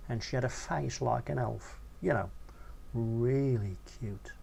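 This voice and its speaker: A man reading his own crude first-person short story in a casual, slangy way. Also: vocal fry. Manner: crude, casual narration with animated swings in pitch.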